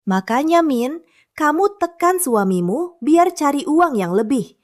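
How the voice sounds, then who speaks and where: A woman's voice: sits high, big pitch swings, a little slow, darkish tone. An actress voicing a pushy, well-off female neighbor who nags and gives orders in a cartoon drama. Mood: bossy. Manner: bossy, nagging.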